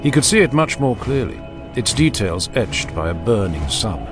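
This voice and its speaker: A man giving scripted, dramatic narration of a fantasy audiobook with grave, deliberate phrasing. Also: fairly low pitch, very animated, over music.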